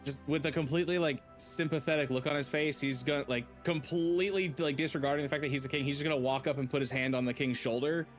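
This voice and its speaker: A man's voice: a little high, fairly even intonation, fast, hardly any pauses, soft background music. A man playing a tabletop game, describing his character's actions in a rambling, filler-heavy way. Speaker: player.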